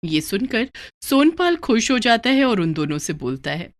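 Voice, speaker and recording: A woman's voice: on the high side, big pitch swings, normal speed. A woman storyteller reading scripted narration for a children's moral tale.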